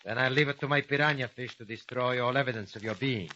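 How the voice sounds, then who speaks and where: An actor playing a cruel, scheming smuggler boss with a sneering, contemptuous manner in an old radio drama. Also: male voice, mid-range voice, fast talker, bright, forceful tone, lightly acted. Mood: menacing. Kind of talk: 1950s radio drama acting. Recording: hissy lo-fi old radio recording.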